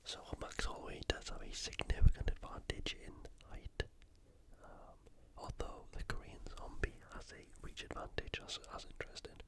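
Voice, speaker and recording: An ASMR host, speaking entirely in a breathy whisper in a casual, conversational commentary style. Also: normal speed. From United Kingdom. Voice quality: whispered, unvoiced. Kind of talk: ASMR fight preview commentary.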